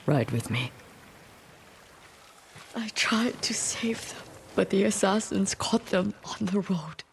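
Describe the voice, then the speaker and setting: Proud, grieving older noblewoman warrior for a game drama: unhurried, grave, formal, unyielding. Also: medium pitch, very animated, creaky, slight background noise, full character voice. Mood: cold, bitter. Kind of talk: dramatic video game cutscene acting.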